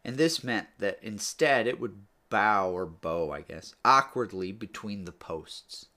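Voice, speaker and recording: Guy with a lowish voice reading a book aloud, measured, sentences trail down at the end. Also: expressive, pushed and bright. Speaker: author or reader narrating a book read-aloud. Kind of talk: scripted audiobook-style story narration.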